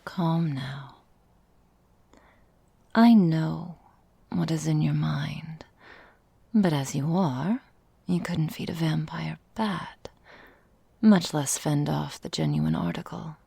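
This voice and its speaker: Woman doing a tenderly menacing vampire mistress for asmr, super slow breathy whisper, lots of crackly fry. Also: low voice, mellow, no room sound, heavily acted. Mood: soothing, menacing. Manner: slow, velvety, formal old-fashioned phrasing. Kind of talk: intimate ASMR roleplay.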